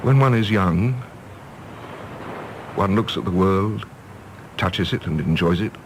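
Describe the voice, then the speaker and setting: An actor playing a disillusioned middle-aged English diplomat, bitter and self-mocking, in an impassioned radio-drama style. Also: male voice, low voice, takes it slowly, background noise. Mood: wistful, anguished. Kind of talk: stage-play radio drama acting.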